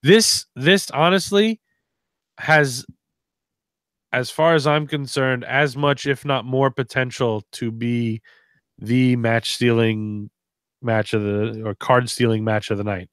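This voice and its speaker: A man's voice: medium pitch, fairly even intonation, slow, a bit bright, clean tone, dry recording. A man co-hosting a podcast in casual, sarcastic, rambling banter with plenty of swearing. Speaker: wrestling podcast co-host.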